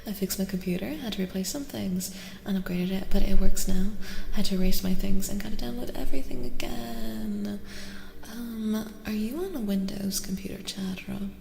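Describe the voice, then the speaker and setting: A woman streaming a soft-spoken ASMR card reading, chatting casually and gently in a breathy voice that often drops to a whisper, repeating words soothingly. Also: medium pitch, steady tone, normal speed, echoey room, long reverb tail, a little hiss or hum. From Eastern Europe. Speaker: streamer host giving tarot readings.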